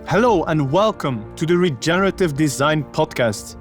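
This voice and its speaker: A male podcast host with an upbeat, friendly delivery, moving from a read intro into relaxed questions. Faint music plays underneath. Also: on the high side, moderate pace. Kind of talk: scripted podcast intro, then casual interview questions.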